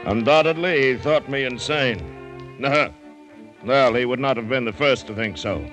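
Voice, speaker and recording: An actor playing a stubborn, self-assured plantation owner with emphatic delivery, in an old-time radio adventure drama. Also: male voice, medium pitch, a little slow, fairly bright tone, close to an everyday voice. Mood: proud, defiant. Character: supremely confident, smug, stubborn male plantation owner. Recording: vintage radio recording with music.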